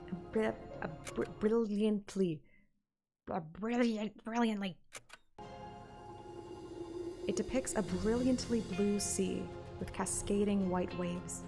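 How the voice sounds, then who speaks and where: A woman narrating a story like an audiobook, with casual streamer asides. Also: mid-range voice. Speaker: streamer reading a game story aloud.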